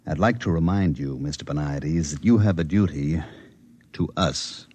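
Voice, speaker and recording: An actor playing a formal, persuasive government security chief in a 1950s radio drama, speaking deliberately and pressing his case. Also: very deep voice, a little mellow, breathy edge. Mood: pressing, stern.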